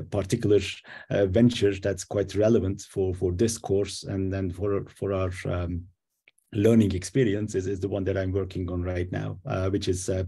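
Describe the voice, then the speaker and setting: Really deep guy, gravelly and husky, mellow, keeps restarting words. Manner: stammering restarts, warm and upbeat. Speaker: course facilitator, entrepreneur. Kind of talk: self-introduction.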